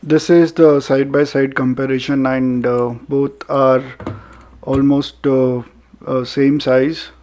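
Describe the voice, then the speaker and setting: Indian male reviewer, mellow and unhurried, hesitant delivery with lots of pauses, for a casual unboxing video. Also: mid-range voice, flat delivery. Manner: halting, hesitant delivery with frequent filler pauses. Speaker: product reviewer.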